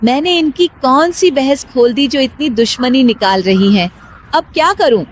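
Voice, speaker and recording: A woman voicing a dutiful, put-upon young daughter-in-law, polite but quietly exasperated, in a cartoon story. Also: high-pitched, animated, normal speed, slight background noise. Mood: worried.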